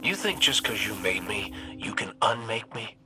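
An actor playing a cocky, wisecracking robot gunslinger in a video game, with an American accent and a wry, folksy, sardonic way of talking. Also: male voice, lowish voice, expressive, moderate pace, music in the background, close to an everyday voice. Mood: wry menace. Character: cocky wisecracking male robot gunslinger, roguish hunter leader. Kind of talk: video game voice acting, recorded farewell messages.

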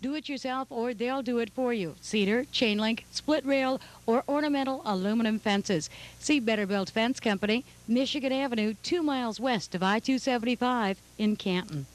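A woman voices a radio commercial in an upbeat, salesy manner. Her pitch is a little high for a woman. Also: moderate pace, poor audio. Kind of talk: scripted ad read.

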